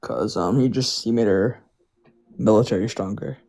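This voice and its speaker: A man's voice: lowish voice, moderate pace, vocal fry, darkish tone. A young man calling into a political show, hesitant and stumbling over his words with casual slang. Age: young adult. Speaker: caller.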